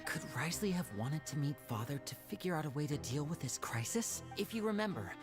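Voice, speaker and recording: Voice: medium pitch, very animated, fairly quick, natural voice. An actor voicing a composed, clever young man in an anime game, articulate and analytical, protective of his own. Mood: thoughtful. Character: composed, clever young male stage magician, protective brother. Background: game music.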